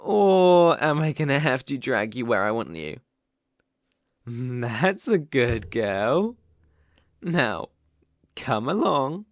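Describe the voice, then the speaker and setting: A man's voice: mid-range voice, very animated, takes it slowly, pauses often, dry recording. Man acting a cocky British college bully, close-up. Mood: smug coaxing. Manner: teasing, condescending, swaggering. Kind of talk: ASMR roleplay acting.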